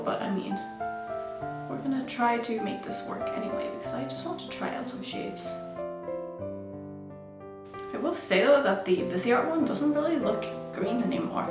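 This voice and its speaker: A woman's voice: a little high, reverberant. A woman who grew up in Norway, chatting casually while she does her makeup for a beauty vlog. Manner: chatty, self-narrating while applying makeup. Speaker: beauty vlogger.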